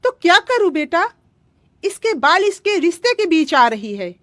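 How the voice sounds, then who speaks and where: Woman acting very shrill, feisty cartoon woman. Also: very animated, a little slow, no echo, clean studio sound, full character voice. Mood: scolding. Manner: sharp-tongued, indignant. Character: feisty, scolding women of a family drama cartoon.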